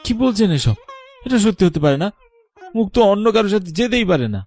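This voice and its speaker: Man acting, dutiful young suitor, brisk pace, mellow timbre, dry sound, cartoon drama. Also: high-pitched, sing-song. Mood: shocked. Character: polite, dutiful young landlord's son, lovestruck. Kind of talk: Bengali cartoon story voice acting.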